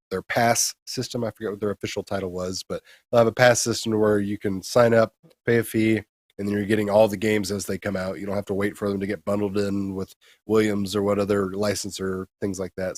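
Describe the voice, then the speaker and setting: A man with a fairly low voice co-hosts a casual gaming news show. He explains updates conversationally, and the recording is dry and close. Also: a little mellow, no echo. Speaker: co-host presenting gaming news.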